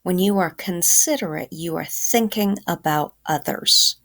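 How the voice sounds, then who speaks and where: A woman's voice: on the low side, unhurried, a bit bright. A woman teacher giving children a reading lesson, explaining simply and instructively.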